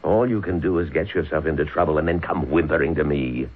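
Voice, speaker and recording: A man's voice: very deep voice, quick pace, breathy edge. An actor playing a stern, scheming rich father who orders his son about, in old-time radio drama style. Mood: cold, calculating. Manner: stern, commanding, impatient. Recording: muffled phone-like old radio recording.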